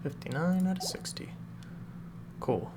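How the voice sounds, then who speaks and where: A man's voice: high voice, a little slow, background noise. A man doing casual live gameplay commentary as a streamer, thinking aloud. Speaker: game streamer. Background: loud game music.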